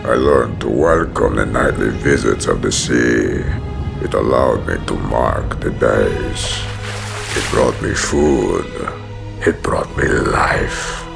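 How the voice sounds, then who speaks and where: A man playing a brooding, menacing prison-hardened villain who narrates his origin in a gravelly, solemn, deliberate voice for a comic dub. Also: a bass voice, lively intonation, full character voice. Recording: music behind the voice.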